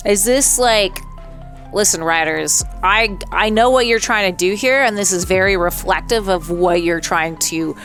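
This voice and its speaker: A woman's voice: mid-range voice, measured pace, a bit bright, soft background music. A woman hosting a let's play with casual, playful commentary, chatting and reacting aloud.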